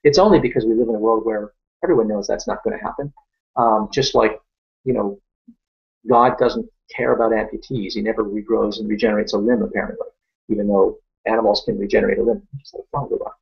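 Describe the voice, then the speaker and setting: Gravelly French Canadian man, lo-fi stream. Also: fairly low pitch, quick pace. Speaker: Q&A host. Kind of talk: live Q&A answering viewer questions.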